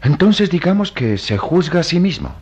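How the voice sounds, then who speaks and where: An actor playing a grave, compassionate priest in a radio drama, speaking in solemn, oratorical phrases. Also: male voice, a little high, very animated, normal speed, a little mellow, slightly rough, highs cut off. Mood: solemn, reassuring. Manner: solemn, oratorical, emphatic delivery. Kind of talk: melodramatic Latin American radio drama acting.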